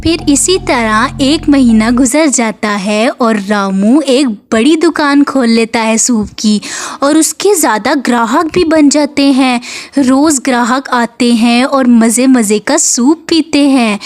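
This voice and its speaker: A woman's voice: sits high, slow. A woman reading a scripted moral story as its narrator.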